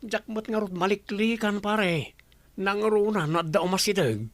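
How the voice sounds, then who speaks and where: An actor playing a young womanizer in a radio drama, cocky but protective, with a creaky voice. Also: sits high, a little slow, a bit bright, no echo. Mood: defensive. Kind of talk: radio drama acting in Ilocano.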